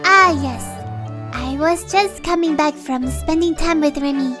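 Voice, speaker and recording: Woman as a sweet young healer, high pitch, polite, composed, modest, audio drama. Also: a little slow, music in the background. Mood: polite, pleased. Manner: polite, composed, slightly formal. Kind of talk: fan audio drama acting.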